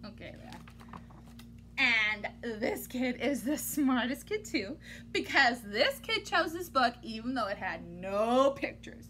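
A woman's voice: high-pitched, sharp tone. A woman in her thirties reading a picture book aloud to children like a teacher, with exaggerated, theatrical, playfully exasperated delivery. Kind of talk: animated children's story read-aloud. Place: small indoor room at home. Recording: noticeable background noise, home recording.

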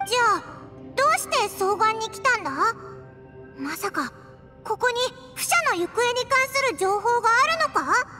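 A woman voicing a tiny, cute, curious fairy companion in a Japanese game, with a squeaky, childlike, blunt way of talking. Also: animated, moderate pace. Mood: curious, puzzled. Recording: long reverb, music behind.

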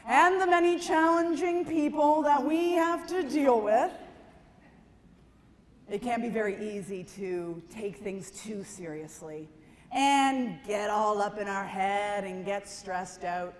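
A middle-aged woman giving a stand-up comedy talk to an audience, emphatic and conversational, with dramatic pauses. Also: medium pitch. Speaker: comedian, keynote speaker. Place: large conference ballroom stage. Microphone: handheld microphone. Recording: echoey hall PA, some noise.

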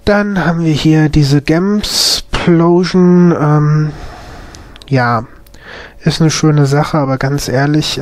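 A man with a mild cold hosts a casual, unscripted game commentary. His voice sits a little high. He often hesitates to find his words, and the sound is very dry, with no room echo. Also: a little mellow. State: has a slight cold. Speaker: gaming video host.

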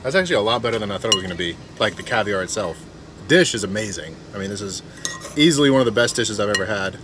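A young man with a slightly low voice chats eagerly into an action camera held at arm's length. He's in a camper van kitchen, with a faint hum behind him. His talk is loose and excitable. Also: fast. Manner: enthusiastic, easily impressed, laughs often. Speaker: vlogger host. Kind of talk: casual vlog chat. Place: inside a camper van kitchen, parked outdoors.

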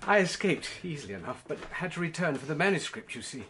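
An actor playing a shabby, earnest, excitable struggling writer in a British period drama, courteous. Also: male voice, on the high side, quick pace, noisy background. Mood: shaken, urgent.

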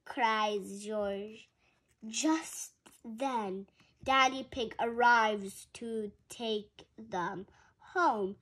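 A very young female reader going through a picture book aloud haltingly, sounding out words and adding excited asides. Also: on the high side. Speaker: reader in a children's read-along video.

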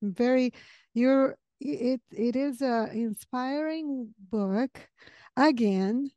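A woman's voice: high-pitched, drawn-out words, warm tone, dry recording, highs cut off. A middle-aged woman from southern Brazil with a Brazilian accent, hosting a conversational interview close on a podcast microphone. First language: Portuguese. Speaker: podcast host. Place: home study with bookshelves, video call. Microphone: podcast microphone on boom arm, close.

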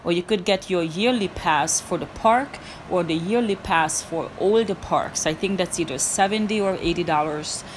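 A woman's voice: on the low side, a little hiss or hum. A woman narrating her hiking vlog in a casual, earnest, encouraging way, with small restarts. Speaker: hiking vlogger.

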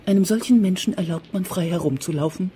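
A woman's voice: lowish voice, fast, mellow, slight background noise, lightly acted. An actress playing a bitter, commanding middle-aged mother who interrogates her grown son in a radio drama. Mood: bitter, outraged. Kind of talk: German radio drama acting.

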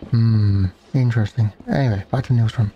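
A man's voice: lowish voice, fairly even intonation, fairly quick, warm tone, highs cut off, soft background music. A man playing a game and musing aloud in a wry, casual way. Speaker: let's play gamer.

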